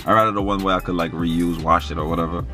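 A man's voice: low voice, fairly even intonation, fast, over music. A man in his twenties in casual couple banter inside a car, speaking slangily, repeating himself in a coaxing way. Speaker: prank vlogger.

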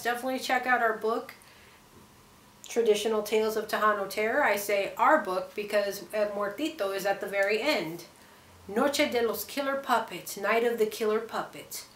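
A young woman reads her own horror story aloud in a theatrical, deliberate way with drawn-out pauses. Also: fairly high pitch, a bit bright. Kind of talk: dramatic storybook reading of a horror tale. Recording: echoey room with clear background noise.

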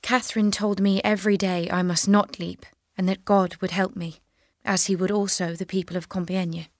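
A woman's voice: medium pitch, little pitch movement, normal speed, no room sound, clean studio sound, lightly acted. A woman playing a devout, resolute young peasant prisoner in an audio drama, earnest, plain-spoken and guarded. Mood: solemn testimony.

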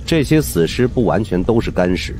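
Very deep-voiced man narrating a suspense tale. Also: normal speed, slight background noise. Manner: storytelling, expressive. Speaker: audiobook narrator. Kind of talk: dramatic scripted narration of a suspense horror novel.

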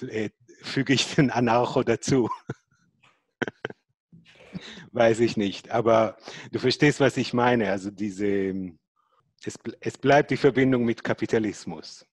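A man's voice: fairly low pitch, steady tone, slow pace, no echo. A man in a casual panel discussion, hesitant as he forms his points.

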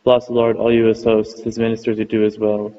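Man reading psalms in a flat monotone. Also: fairly low pitch, fairly quick. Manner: steady monotone chant-like reading. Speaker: reader of psalms. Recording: muffled recording with long reverb.

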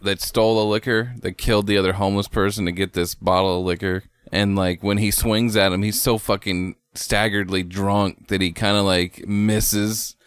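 Low-voiced man, casual and dry. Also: little pitch movement, moderate pace, hardly any pauses, smooth, no room sound. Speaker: podcast co-host. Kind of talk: casual brainstorming of a horror story.

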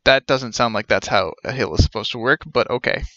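A man's voice: mid-range voice, brisk, bright, forceful tone, dry recording, lo-fi sound. A young man giving casual, rambling live commentary as he streams a game.

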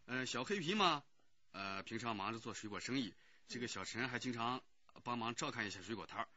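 Radio drama committee director: effusive gossip, very bright and husky, swooping intonation. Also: male voice, medium pitch, expressive, brisk, no room sound. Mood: gossipy, explanatory. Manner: effusive, hesitant, gossipy, full of interjections. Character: chatty, eager-to-help male neighbourhood committee director. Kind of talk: Chinese radio drama acting. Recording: dull, muffled radio drama recording.

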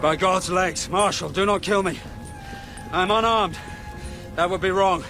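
An actor playing a bold, ambitious, rebellious warrior prince, imperious and declamatory, in a historical radio drama. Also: male voice, high voice, a little slow, pushed and bright, soft background music, exaggerated voice. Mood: wary, defiant.

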